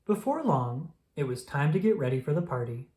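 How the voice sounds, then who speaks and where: Storybook narrator, slow gentle delivery, mid-range voice, read-aloud for children. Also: mid-range voice, very animated. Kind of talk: reading a children's picture book aloud.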